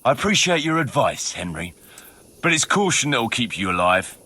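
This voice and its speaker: Wry, commanding mercenary chief, lively delivery. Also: male voice, medium pitch, moderate pace, a little hiss or hum. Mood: dry, dismissive. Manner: commanding, dry, folksy. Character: gruff, cautious, wry male mercenary band chief. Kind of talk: video game cutscene acting.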